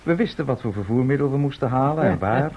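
Man acting a calm time traveller, matter-of-fact, medium-pitched, radio play, dull noisy recording. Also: fast talker, natural voice. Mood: calm, explaining. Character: unruffled male time traveller from the future. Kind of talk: Dutch radio drama acting.

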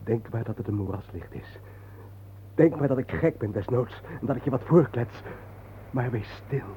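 A man's voice: medium pitch, expressive, moderate pace, warm tone, close to an everyday voice. An actor playing an intense, soul-searching man, earnest and declamatory in a poetic radio drama. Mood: bitter, urgent. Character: intense, soul-searching man lost among the war dead. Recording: muffled, noisy old radio play recording.

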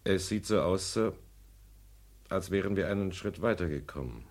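Husky, measured police detective. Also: male voice, deep voice, a little mellow. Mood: matter-of-fact. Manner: measured, formal, dutiful. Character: stern, regretful male police detective. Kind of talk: German crime radio play acting. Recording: husky-sounding vintage radio play recording.

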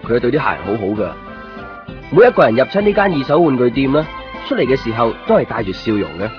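A man's voice: medium pitch, sing-song, quick pace, dull audio, close to an everyday voice. A man playing a wistful shopkeeper, narrating his thoughts in a radio drama in understated Hong Kong Cantonese. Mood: wistful, reflective. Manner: reflective, understated. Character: wistful male neighbouring copy shop keeper narrating his thoughts. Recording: band-limited 1990s radio recording over music.

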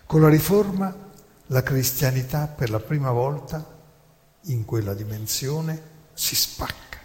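A man delivers a theatrical historical monologue in a deliberate, emphatic, rhetorical way with dramatic pauses. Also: mid-range voice, a little mellow. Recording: long hall reverb.

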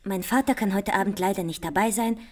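An actress playing a gracious, intense, seductive hostess in a satirical radio play. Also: female voice, medium pitch, fast, lightly acted. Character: alluring, intense hostess, gracious and fatefully passionate.

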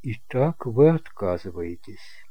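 A man playing a stern, formal, authoritative older gentleman in an audio drama. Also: fairly low pitch, very animated, takes it slowly, slightly rough, no echo, faint music behind, full character voice.

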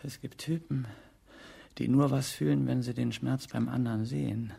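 Gravelly cynical man. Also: mid-range voice, steady tone, moderate pace, mellow. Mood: cynical, mocking. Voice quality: husky, gravelly. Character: cynical, sneering man watching trouble from hiding. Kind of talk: radio drama acting.

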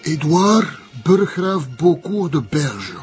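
A man playing a cautious old retired journalist in a TV crime drama, with a Flemish accent and a measured, wry way of talking. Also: fairly high pitch, very animated, darkish tone, husky, soft background music, exaggerated voice. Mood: discreet, gossiping. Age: older.

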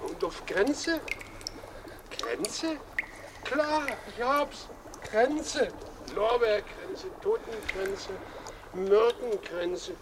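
A boozy would-be poet in a radio play. He rambles in halting, hugely drawn-out phrases, half singing his verse. Noise is clearly audible behind him. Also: sits high, lively intonation, heavily acted. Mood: boisterous, tipsy. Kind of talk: German radio play acting.

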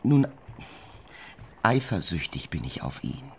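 A man's voice: low-pitched, big pitch swings, gravelly, a little hiss or hum, exaggerated voice. A man acting a passionate, jealous, scheming married journalist in a German radio play, impulsive and theatrical. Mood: jealous, agitated. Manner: impulsive, theatrical, halting when emotional.

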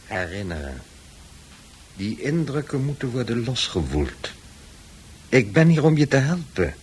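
An actor playing a calm, firm male psychiatrist in a radio drama, with a husky voice and a measured, insistent, patronising manner. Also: mid-range voice, a little hiss or hum. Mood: firm, reassuring. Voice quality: husky, rough.

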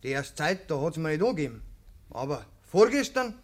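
An actor playing a brazen young village lad in a German radio play, defensive and self-justifying, in Bavarian dialect. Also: male voice, fairly high pitch, sing-song, brisk. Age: young adult. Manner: hedging, defensive.

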